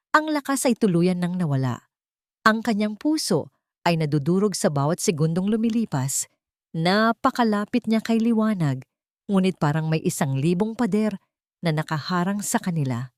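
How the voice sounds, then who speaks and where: Lowish-voiced woman narrating dramatically. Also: no room sound, clean studio sound. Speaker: story narrator. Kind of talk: dramatic scripted narration of romance story.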